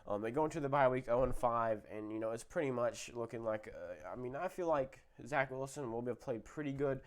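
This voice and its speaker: Young man, slightly sick, casual football predictions, rambling, mid-pitched. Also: fairly quick. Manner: rambling, self-correcting, lots of fillers. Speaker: sports video host.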